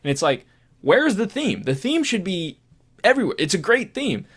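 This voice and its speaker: A man co-hosting a podcast, discussing films casually in a halting, repetitive, conversational way. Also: high-pitched, sing-song, fairly bright tone, slightly rough. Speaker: podcast host.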